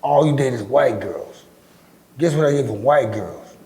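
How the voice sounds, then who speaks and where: A middle-aged man with a deep, raspy voice, talking bluntly and with lots of swearing as an interview guest. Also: a little slow. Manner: blunt, profane, animated storytelling. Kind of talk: casual interview talk.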